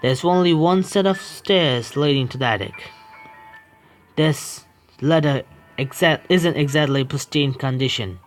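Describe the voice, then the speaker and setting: Brief: male streamer, slightly high voice, halting read-aloud of a spooky game, nervous asides. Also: faint music behind. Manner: halting, stumbling reading, scared outbursts at the game. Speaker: gaming streamer. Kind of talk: reading a horror visual novel aloud with reactions.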